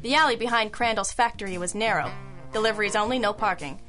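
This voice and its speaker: Bright, wry girl detective played by a woman. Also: mid-range voice, fast talker, natural voice. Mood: wry, musing. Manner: dry, wisecracking. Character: wry, self-assured girl detective in a hard-boiled mystery. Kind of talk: old-school radio detective narration. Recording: music under the voice.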